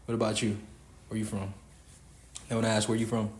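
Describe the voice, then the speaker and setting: A man's voice: on the low side, level tone, fairly quick, a little mellow. Preachy young Texan man in an echoey room. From Houston, Texas. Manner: preachy, emphatic, swearing freely. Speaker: podcast host. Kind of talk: casual podcast rant.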